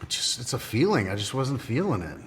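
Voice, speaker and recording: A man's voice: mid-range voice, very animated, fairly quick, noisy background. A man of forty on a dating show, laid-back and terse in his replies. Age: 40s. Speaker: game show contestant. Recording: music under a distant mic.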